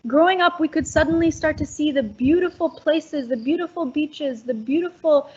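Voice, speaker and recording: A woman's voice: high voice, moderate pace, darkish tone, dull audio. A teenage girl from Indonesia answering interview questions over a video call from home at night, eager and full of ums. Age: teens. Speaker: guest.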